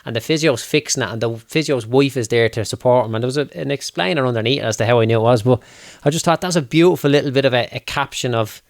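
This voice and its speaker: A young man with a Dublin accent hosting a casual interview, chatty and quick to laugh, with the odd swear word. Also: mid-range voice, no room sound, clean studio sound. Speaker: podcast host.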